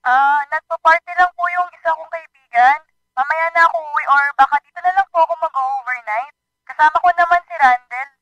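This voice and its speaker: A rebellious teenage daughter, sulky and defiant as she talks back, played by a woman. Her voice is very high and bright, with a dry, close sound. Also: a little fast, no room sound, lo-fi sound, faint music behind. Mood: evasive. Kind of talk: radio drama acting.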